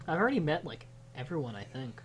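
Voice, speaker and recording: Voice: mid-range voice, very animated, fast talker. A streamer trading dry, teasing banter while playing a strategy game. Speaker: let's play co-streamer. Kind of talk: casual gaming chat.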